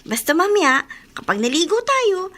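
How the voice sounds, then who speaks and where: A woman with a Filipino accent playing a sweet, coy younger sister in a radio drama, expressive and playful. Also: very high voice, moderate pace, heavily acted. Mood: sweet, eager. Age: young adult. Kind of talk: Tagalog radio drama acting.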